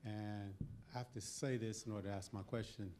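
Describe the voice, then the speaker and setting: A man's voice: lowish voice, steady tone, fairly quick, a little mellow, a little hiss or hum. An older man from Oakland, California, asking an earnest, eager question from the audience at a panel. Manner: earnest, eager, self-deprecating.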